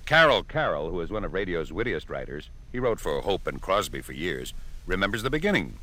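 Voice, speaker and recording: A man's voice: sits low, sing-song, fairly quick, bright, forceful tone. A man giving scripted documentary narration.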